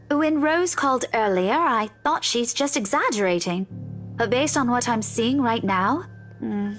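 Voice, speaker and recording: An actress playing a level-headed, doubtful young woman in a visual novel, gently chiding in a measured way. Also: fairly high pitch. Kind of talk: dramatic visual novel voice acting. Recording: music under the dialogue.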